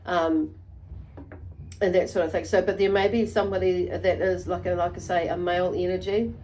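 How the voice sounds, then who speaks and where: A woman giving an unscripted tarot reading to viewers, halting and meandering, often repeating and rephrasing herself as she goes. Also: on the low side, flat delivery, mellow, slight background noise, low-quality recording. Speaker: tarot reader and channel host.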